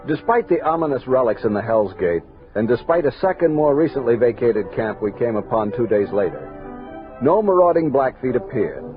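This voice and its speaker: A man performs a commanding American army captain telling his journal in 1960s radio drama style. The delivery is literary and grave, with a very mobile pitch. It is a band-limited old studio recording with prominent music. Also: big pitch swings, phone-quality sound, close to an everyday voice. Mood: measured, reflective. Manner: measured, literary storytelling with crisp orders.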